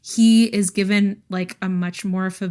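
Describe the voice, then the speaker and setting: A woman's voice: mid-range voice, fairly even intonation, unhurried, a little mellow, clean tone. A woman co-hosting a podcast, talking casually and enthusiastically about books, peppering her speech with "like". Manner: enthusiastic, frequent "like", laughs while talking. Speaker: podcast co-host. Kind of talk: casual book discussion.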